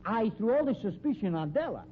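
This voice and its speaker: A man's voice: sits high, very animated, quick pace. An actor playing a sly, sneering carnival knife man with stilted formal phrasing in a 1950s radio drama. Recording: dull, noisy 1950s radio recording.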